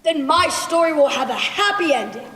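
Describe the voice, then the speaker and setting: Casting: girl as imperious, brooding sultan, high youthful female voice, formal stage command, echoing hall. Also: lively intonation, normal speed, a bit bright. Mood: softened, resolute. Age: young teenager. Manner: formal, commanding theatrical delivery. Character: proud, brooding, imperious sultan who softens. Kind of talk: school stage play acting. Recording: echoey hall, faintly noisy stage recording.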